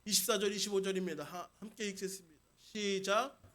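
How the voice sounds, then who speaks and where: A man's voice: sits high, fairly even intonation, a little fast, sharp tone. A man preaching a Sunday sermon as senior pastor, putting questions to the congregation and repeating key points for emphasis. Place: church service.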